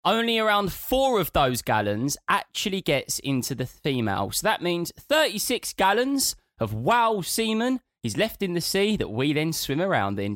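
A man telling stories on a podcast in a lively, rambling way, his voice jumping around in pitch and sitting slightly high for a man. He sounds a bit bunged up, as if he has a cold. The recording is dry and close. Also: no echo. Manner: animated, rambling storyteller. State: congested nose from illness. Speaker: podcast co-host. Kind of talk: casual chat.

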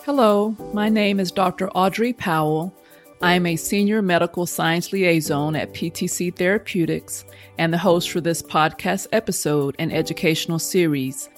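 Woman, podcast host, formal, scripted, lowish voice, faint music underneath. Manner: measured, formal, read from a script. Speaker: podcast host, medical science liaison. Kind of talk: scripted podcast introduction and interview questions.

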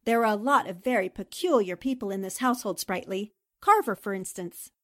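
A woman's voice: medium pitch, fairly quick, fairly bright tone, close to an everyday voice. A woman playing a composed, polite female newspaper columnist in a radio drama. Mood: composed, curious.

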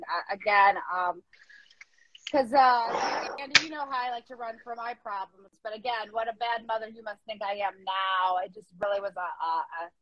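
A woman's voice: a little high, a little hiss or hum. A woman speaking in an earnest, emotive way at a spoken-word open mic. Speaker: open mic poetry reader and participant. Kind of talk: dramatic poetry reading and casual discussion. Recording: muffled lo-fi dry audio.

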